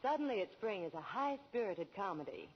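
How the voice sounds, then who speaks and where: A woman's voice: mid-range voice, very animated, fairly quick, slightly rough. A woman with an American accent as a Hollywood reporter, giving polished, gushing scripted 1940s radio promotional chat. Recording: dull, reverberant old radio broadcast.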